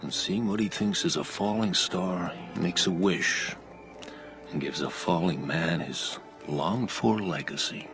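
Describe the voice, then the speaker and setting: A man's voice: sits low, unhurried, music in the background, poor audio. An actor playing a haunted, brooding older male wanderer, storytelling in a radio drama.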